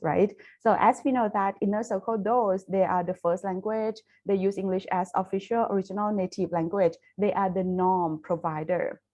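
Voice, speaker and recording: A Thai woman with a Thai accent, speaking English as a foreign language, giving a careful academic webinar presentation with frequent pauses and restarts over a video call. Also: mid-range voice, mellow. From Thailand. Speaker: university lecturer presenting research.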